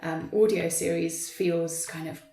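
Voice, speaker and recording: A woman's voice: on the low side, fairly even intonation, unhurried, warm tone. A woman in a thoughtful, unscripted discussion, talking in a tentative, reflective way and hedging as she goes. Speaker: theatre practitioner in a panel conversation. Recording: roomy, echoey sound.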